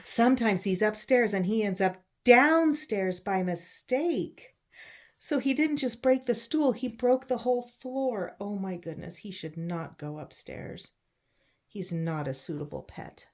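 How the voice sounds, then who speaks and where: A woman reads a children's picture book aloud, pausing to explain simply and put questions to her young listeners. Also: mid-range voice. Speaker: read-aloud storyteller for children. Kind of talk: picture book read-aloud with chatty asides.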